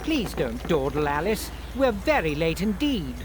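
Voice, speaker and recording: A man's voice: a little high, very animated, measured pace, noisy background. A man voicing an anxious, punctual rabbit in a video game, polite, proper and fretful. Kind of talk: video game character acting.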